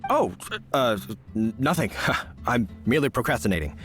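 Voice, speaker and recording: Sheepish knight, lively intonation. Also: male voice, mid-range voice, normal speed, soft background music. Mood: sheepish, evasive. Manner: stammering, wordy politeness. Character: sheepish, evasive male knight on a break. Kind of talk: video game character acting.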